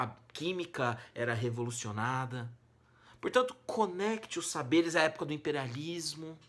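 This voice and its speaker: A Brazilian man with a mid-pitched voice teaches in an informal, colloquial way. He stresses key phrases, drops others lower, and puts rhetorical questions to his students as he explains. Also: fairly bright tone. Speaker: humanities teacher and exam-prep host. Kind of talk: informal educational monologue to students.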